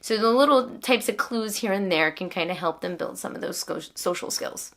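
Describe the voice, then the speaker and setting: A woman's voice: mid-range voice, expressive, moderate pace, fairly bright tone. A woman hosting a homeschooling vlog, explaining things to other parents in an encouraging way. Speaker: homeschooling mother and vlog host.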